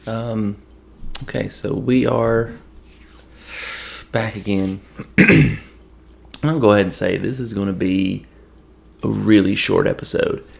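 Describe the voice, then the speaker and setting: A hoarse man with a gravelly voice from allergies, reviewing a movie in a rambling, casual way with lots of restarts. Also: on the low side, fairly even intonation, takes it slowly, pauses often. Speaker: podcast host.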